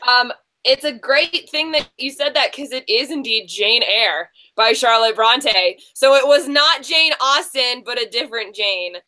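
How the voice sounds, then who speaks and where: A woman's voice: a little high, a little slow, bright, forceful tone. A woman hosting a casual online book quiz in a chatty, encouraging way. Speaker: quiz show host.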